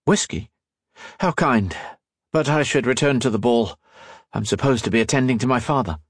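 A man voicing a courteous, inquisitive young gentleman doctor in an audiobook story, with a polite, formal and chatty manner. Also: mid-range voice, moderate pace, husky, dry recording, clean studio sound. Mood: polite, hesitant.

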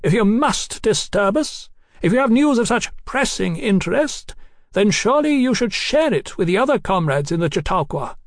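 A man voicing a shrill, imperious woman lecturer who commands and taunts her audience. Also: big pitch swings, normal speed, dry recording, studio quality. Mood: commanding. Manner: high piercing commanding tone.

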